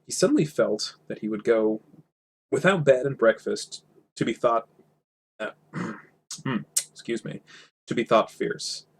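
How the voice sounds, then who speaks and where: A man with a husky voice reading a storybook aloud in an informal, self-correcting way. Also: mid-range voice, animated, measured pace, long pauses. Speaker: amateur audiobook reader. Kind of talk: storybook reading with character voices and casual asides.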